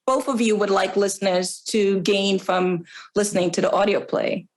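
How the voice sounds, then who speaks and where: A woman's voice: medium pitch, fairly even intonation, normal speed. A woman hosting an interview, putting questions to her guests. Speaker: interview host.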